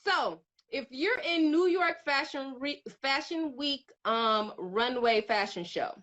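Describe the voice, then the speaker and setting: A woman's voice: sits high, slow pace, fairly bright tone. A woman hosting a live interview, bubbly and playful, calling her guest honey and hyping up each answer. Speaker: host. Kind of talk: casual live interview chat. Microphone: phone on a video call.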